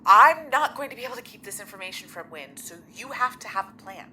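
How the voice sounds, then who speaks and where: A woman's voice: medium pitch, animated, fast, pushed and bright, a little hiss or hum. A woman acting in an audio drama as a frank, preoccupied young friend with a teasing side. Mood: firm, pressing.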